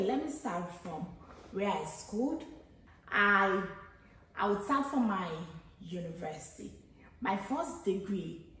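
A woman in her thirties from Nigeria with a Nigerian accent, chatting casually as a vlogger and thinking aloud with frequent restarts. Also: medium pitch. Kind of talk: casual get-to-know-me chat. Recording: very echoey room.

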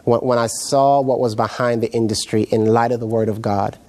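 A middle-aged man with a relaxed, dark-toned voice tells his story as a guest in a studio interview. He is reflective and halting, feeling his way through each phrase, at a medium male pitch. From Jamaica, raised in New York. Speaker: guest pastor. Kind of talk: personal testimony in a TV interview. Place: indoor TV studio set, seated.

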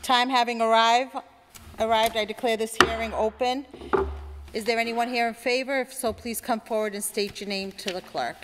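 A woman chairing a council meeting, running the procedure in a brisk, formal way. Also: on the high side, fairly even intonation, in a large hall, heavy background noise. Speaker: council president. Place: council chamber.

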